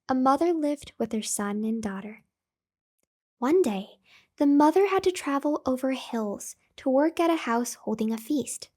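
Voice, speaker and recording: High-voiced woman reading bedtime stories slowly. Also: darkish tone. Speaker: storyteller and host. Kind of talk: scripted bedtime story narration for children.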